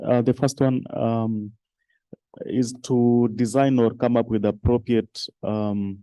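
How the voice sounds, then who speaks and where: Halting low-voiced man listing points. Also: dark tone, no echo. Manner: halting, many fillers, lists points. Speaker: conference participant. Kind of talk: discussion contribution. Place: conference hall.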